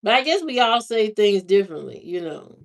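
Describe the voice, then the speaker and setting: A woman hosting a livestream, chatting casually with viewers in a slow, drawling, musing way. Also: mid-range voice, very animated. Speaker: livestream host. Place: lives in Indiana, not from there.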